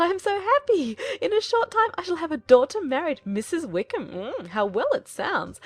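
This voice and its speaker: A woman voicing an excitable, flighty middle-aged mother in an audiobook, gushing and exclaiming in a fluttery way. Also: high-pitched, pitched above the performer's own voice. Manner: effusive, fluttery, breathlessly exclaiming. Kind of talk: dramatized audiobook character voice.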